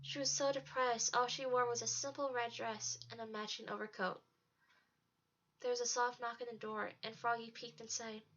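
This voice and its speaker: A woman's voice: on the high side, flat delivery, moderate pace, a bit bright. A woman narrating a storybook as a read-aloud. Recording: dull lo-fi home recording.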